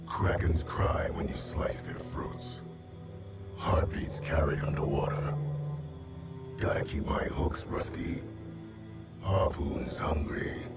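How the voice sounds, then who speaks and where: A grim drowned killer of the deep, speaking extremely low in a gravelly whisper. He is slow, menacing and poetic, like a vengeful game monster, with loud music over him. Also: exaggerated voice. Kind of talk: game character voice lines.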